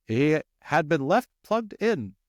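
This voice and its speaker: A man's voice: on the high side, very animated, slow pace, no room sound, clean studio sound. A man voicing a polite, modest mother mouse in an audiobook, speaking carefully and tentatively. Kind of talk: audiobook character voice.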